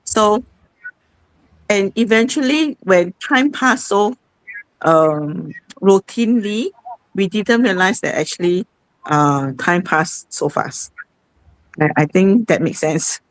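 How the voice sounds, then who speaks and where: A woman's voice: mid-range voice, animated, slow, dry recording, low-quality recording. A woman, hosting a casual live-stream sharing, chatty and repeating herself, often saying "okay". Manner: chatty, repeats itself, says "okay" a lot. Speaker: host.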